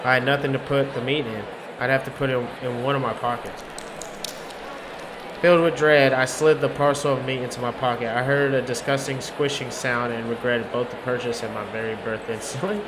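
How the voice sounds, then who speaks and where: A man narrates a horror visual novel in the first person, with a dry and wry storyteller's delivery. His pitch is average for a man. Clear background noise runs under his voice. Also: steady tone, brisk. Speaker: game player reading narration aloud. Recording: noisy urban ambience behind.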